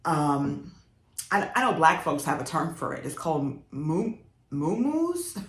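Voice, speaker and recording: A woman's voice: deep voice, big pitch swings, unhurried. A young woman from Jamaica, raised in New York, chatting casually to camera about fashion, laughing often and using lots of fillers. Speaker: fashion vlogger. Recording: echoey room.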